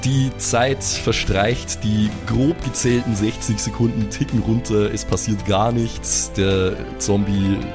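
A low-pitched man leads a tabletop role-playing session. He narrates scenes in a measured, descriptive way, improvising as he goes, over clearly audible music. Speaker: game master.

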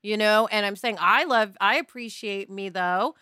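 Female podcast host, very bright tone, dry sound, informal and candid delivery. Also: medium pitch, normal speed, smooth, no echo. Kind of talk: casual conversational podcast chat.

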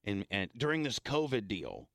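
Hoarse man rambling on air. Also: lowish voice, huge pitch swings, moderate pace, a bit bright, dry recording, clean studio sound. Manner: rambling, stop-start, self-deprecating. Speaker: radio show host. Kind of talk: casual on-air banter. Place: radio studio.

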